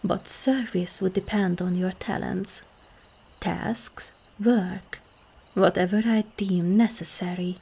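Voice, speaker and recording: A woman playing a seductive, regal demon queen in an ASMR roleplay, calm and commanding, with slow, formal speech that often drops into breathy whispers. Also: lowish voice, heavily acted. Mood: measured, enticing. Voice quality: breathy, husky.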